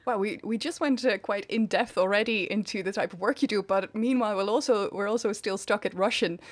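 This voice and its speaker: A woman interviewing a guest in a relaxed chat, friendly and quick to laugh. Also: mid-range voice, no room sound. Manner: chatty, laughs often, many back-channel yeahs.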